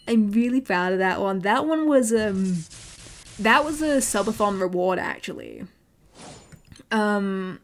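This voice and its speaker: English accent, young woman, casual streamer talk with repeats and fillers, light background noise. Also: a little high. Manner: chatty, repeats words, many fillers. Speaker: VTuber streamer. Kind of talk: casual livestream chat.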